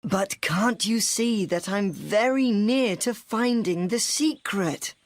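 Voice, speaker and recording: Female narrator doing young husband, storybook character, eager, gullible, earnest, slow pace, medium pitch. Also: natural voice. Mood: insistent. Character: eager, naive young husband obsessed with alchemy.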